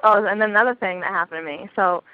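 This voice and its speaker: A young woman telling a story in casual chat on a phone call, chatty and quick to swear. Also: mid-range voice, clear, resonant tone. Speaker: caller. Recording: dry tinny phone line.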